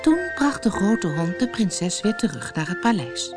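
Slow, very expressive woman storyteller. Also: medium pitch, over music. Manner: expressive, dramatic. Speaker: audiobook storyteller. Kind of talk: scripted fairy-tale narration.

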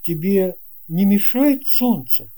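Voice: mid-range voice, big pitch swings, slow, warm tone, pitched above the performer's own voice. A narrator voicing a curious, polite little boy in a storybook, earnest and questioning.

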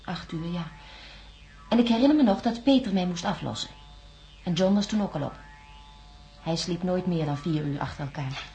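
An actress playing a troubled, guarded astronaut scientist in a science fiction radio drama, articulate and insistent. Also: female voice, lowish voice, lively intonation, moderate pace, long pauses, dark tone, slight background noise. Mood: recalling, insistent.

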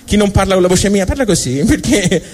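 A man from Tuscany with a Tuscan accent, performing a comic storytelling monologue in a halting, colloquial way, often interrupting himself. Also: high-pitched, lively intonation, darkish tone. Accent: Tuscan Italian. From Tuscany, Italy. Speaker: stand-up monologist.

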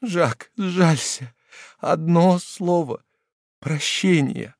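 A man reading a novel aloud, voicing a desperate young woman who pleads through tears in a high, shrill voice. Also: moderate pace, creaky, darkish tone, no echo, clean studio sound. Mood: anguished. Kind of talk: audiobook character voice.

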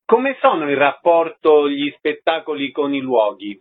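A man's voice: on the high side, sing-song, measured pace. A man hosting a radio show with concise announcements and questions. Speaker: radio host, interviewer. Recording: narrow lo-fi radio sound.

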